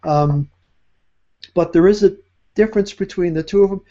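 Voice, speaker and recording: A man with a fairly high, mellow voice talks in a loose, rambling way, full of fillers and restarts. The sound is dull and lo-fi. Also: moderate pace. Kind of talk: casual chat.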